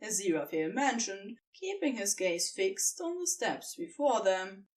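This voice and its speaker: A woman narrating scripted fan fiction as an expressive storyteller, recorded slightly away from the microphone. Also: medium pitch, unhurried, far from the mic.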